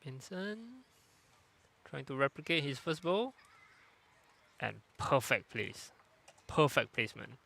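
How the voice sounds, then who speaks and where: A man giving calm, unhurried live commentary on a lawn bowls match. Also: mid-range voice, vocal fry. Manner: calm, unhurried, plain-spoken.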